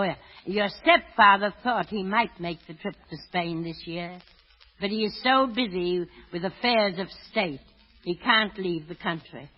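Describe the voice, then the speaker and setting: Husky, fretful old apple seller. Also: female voice, fairly low pitch. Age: 60s or older. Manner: halting, fretful, muttering to herself. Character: poor elderly woman apple seller, anxious doting mother. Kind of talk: 1930s radio drama acting. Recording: dull vintage radio recording.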